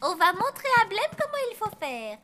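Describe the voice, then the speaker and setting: A woman's voice: extremely high pitch, wildly swooping pitch, moderate pace, over music, full character voice. An actress playing a bossy, cocky little zombie girl who bickers confidently in a French cartoon dub. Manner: bickering, sure of herself.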